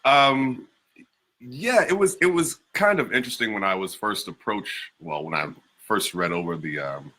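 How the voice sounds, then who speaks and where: A man's voice: lowish voice, animated, moderate pace, sharp tone. A man, an actor, reflecting casually and a little hesitantly as a guest on a panel.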